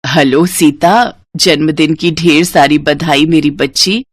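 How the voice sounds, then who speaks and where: A woman's voice: low voice, slow pace, breathy edge, no echo, voice pushed down, exaggerated voice. A woman voicing a loving, worried, poor village mother in a story. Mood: affectionate.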